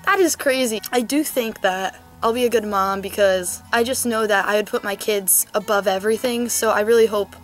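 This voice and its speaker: A woman's voice: mid-range voice, faint music behind. A nineteen-year-old woman talking casually and earnestly to the camera, filmed outdoors in a cold, sunny yard with a camera mic. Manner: chatty, earnest, quick asides. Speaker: vlogger. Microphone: camera mic, medium shot.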